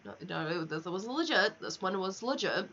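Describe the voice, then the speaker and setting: Young female game streamer, lively pitch, candid rambling chat with casual swearing, slightly dull lo-fi mic. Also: moderate pace. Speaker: livestreamer.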